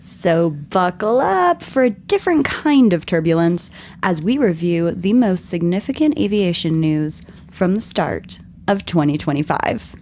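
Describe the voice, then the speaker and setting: A woman hosting a podcast, her voice scratchy and strained from yelling, explaining things in an earnest, scripted way. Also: fairly low pitch, expressive, unhurried. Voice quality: scratchy, husky. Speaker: podcast host.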